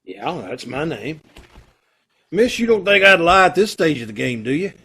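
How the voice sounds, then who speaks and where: A man's voice: a little high, big pitch swings, moderate pace, bright, forceful tone, slightly rough, exaggerated voice. A man playing a guarded, world-weary, wry prisoner with a curt, casual manner in an amateur radio play. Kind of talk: amateur old-time radio play acting.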